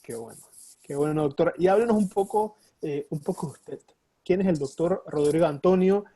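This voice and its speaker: Husky, dry-voiced interview host. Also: male voice, on the high side, moderate pace, mellow, no echo. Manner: courteous, addresses guest as doctor. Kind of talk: interview questions.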